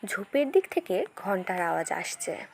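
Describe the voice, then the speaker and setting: A woman's voice: mid-range voice, very animated, normal speed, a little mellow, a little hiss or hum, voice pushed down. A woman playing helpful village children in a cartoon story, speaking plainly and reassuringly. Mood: purposeful.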